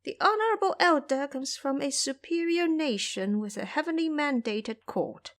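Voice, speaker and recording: A woman's voice: high voice, voice pushed up. A woman voicing an ancient, boastful old male monk who speaks with courteous, self-deprecating humility in an audio drama.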